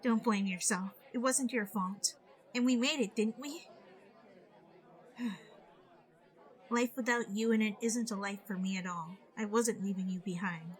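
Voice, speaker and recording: A woman playing a gentle, tearful young pony mare in a dramatised fan fiction, speaking softly and tenderly. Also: medium pitch, some chatter behind, close to an everyday voice. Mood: tender, reassuring. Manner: soft, slow, tender.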